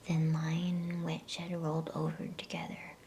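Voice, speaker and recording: A woman softly whispering an ASMR bedtime story, reading in a slow, soothing manner with pauses between phrases. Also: deep voice, completely flat delivery, a little hiss or hum.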